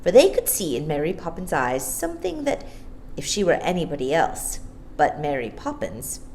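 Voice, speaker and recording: A woman reads a children's story aloud with an animated, expressive delivery. Her voice sits a little low and moves widely in pitch, over faint background noise. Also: normal speed, rough recording.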